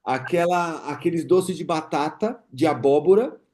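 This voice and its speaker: A man's voice: a little high, a little slow, a little mellow. Chatty Brazilian man hosting a podcast. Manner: chatty, reminiscing with guest. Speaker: podcast host. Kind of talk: casual interview chat.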